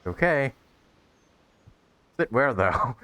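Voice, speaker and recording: A man's voice: mid-range voice, very animated, extremely slow, a bit bright. A man giving casual reaction commentary as a game streamer, musing aloud conversationally into a close mic.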